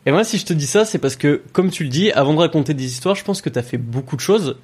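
A man's voice: fairly high pitch, animated, quick pace, darkish tone, slightly rough. A man hosting a podcast, asking his guest questions in a loose, chatty way with lots of filler words. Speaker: podcast host. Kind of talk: casual podcast interview.

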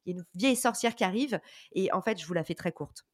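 A French-speaking woman giving energetic, informal advice in an interview, with many fillers and slang. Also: mid-range voice, fast, dry recording, clean studio sound. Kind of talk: casual motivational advice.

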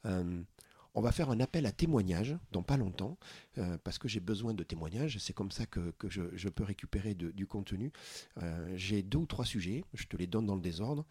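A man from France in a casual interview, talking earnestly and often pausing to find his words. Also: fairly low pitch, expressive, dark tone, slightly rough, no room sound. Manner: earnest, searching for words with many pauses. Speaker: podcast founder, interview guest.

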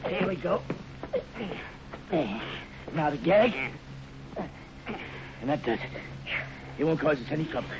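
An actor playing a smooth-talking, scheming American bank robber with a coaxing, falsely friendly manner in a 1950s radio serial. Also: male voice, a little high, expressive. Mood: brisk, commanding. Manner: slick, coaxing, brisk. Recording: muffled, noisy old radio recording.

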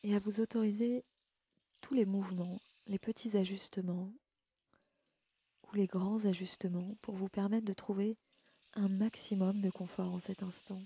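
A woman leading a guided sleep meditation in a gentle, soothing, unhurried way, often slipping into a breathy near-whisper. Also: mid-range voice, steady tone, slight background noise. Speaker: yoga nidra guide and podcast host. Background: music.

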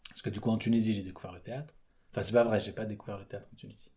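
Guy with a low mellow voice, chatting, lots of ums and restarts. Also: steady tone, racing. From France. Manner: hesitant, many fillers and restarts, softening into a murmur. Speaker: guest, theatre performer. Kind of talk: casual interview chat.

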